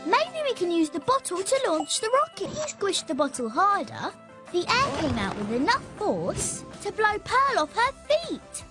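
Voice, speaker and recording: Cartoon girl inventor: very high, sing-song, upbeat, music bed. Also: wildly swooping pitch, moderate pace, heavily acted. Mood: eager. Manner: cheerful, explaining. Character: clever young girl inventor, upbeat and practical. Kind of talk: animated series voice acting.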